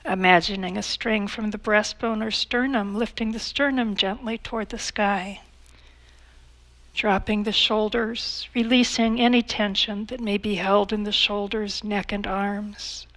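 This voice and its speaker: Calm woman guiding a meditation. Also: mid-range voice, poor audio. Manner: calm, measured, with long pauses. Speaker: meditation instructor. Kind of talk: guided meditation.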